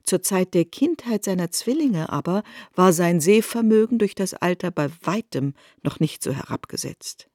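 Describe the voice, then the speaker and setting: A woman's voice: lowish voice, expressive, moderate pace, few pauses, a little mellow, no room sound, studio quality. A woman narrating a literary radio drama in a formal, explanatory manner.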